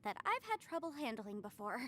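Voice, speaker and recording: A woman voicing an earnest, polite young legal advisor in a video game, measured and gently formal. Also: fairly high pitch, very animated, a little hiss or hum. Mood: earnest, reflective.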